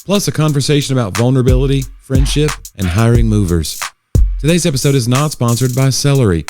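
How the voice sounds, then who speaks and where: A man narrates a scripted podcast intro. His voice is medium in pitch. Music plays clearly behind him. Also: animated, brisk. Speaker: podcast host.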